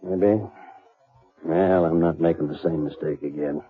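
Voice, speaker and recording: A man's voice: a bass voice, fairly even intonation, unhurried, narrow, tinny audio. An actor playing a weary, plain-spoken cattleman and dutiful older brother in an old radio western, with a folksy drawl. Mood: bitter, regretful. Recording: thin vintage radio recording, faint music.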